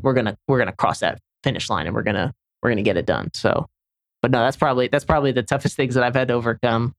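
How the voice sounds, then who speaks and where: A man's voice: medium pitch, quick pace, creaky, no room sound, clean studio sound. A young man from Senegal, a camera assistant chatting casually on a podcast, rambling with many restarts.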